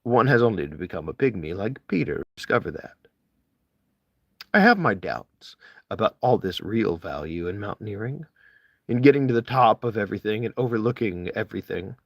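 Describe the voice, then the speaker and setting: A man's voice: fairly low pitch, expressive, a little fast, gravelly, no room sound, dull audio. A man reading a literary essay aloud with theatrical, emphatic phrasing. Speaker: amateur audiobook reader.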